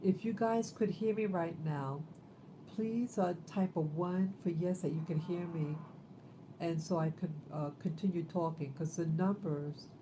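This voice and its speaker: A woman's voice: on the low side, noisy background. Rambling woman in her fifties. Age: 50s. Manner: rambling, halting, many fillers. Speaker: livestream host. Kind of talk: casual livestream talk.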